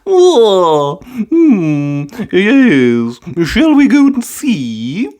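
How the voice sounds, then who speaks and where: A woman's voice: medium pitch, huge pitch swings, extremely slow, dark tone, voice pushed up, full character voice. A woman voicing a fond, fussy old grandmother pig who trails off in little hums. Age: older.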